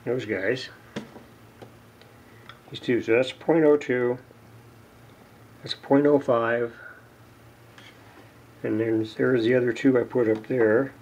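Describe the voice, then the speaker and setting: A man giving unscripted, casual narration of hands-on repair work, halting and muttering to himself as he goes. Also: mid-range voice, fairly even intonation, darkish tone, lo-fi sound, slight background noise. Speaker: hobbyist radio repairer, video host. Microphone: camera mic near the workbench.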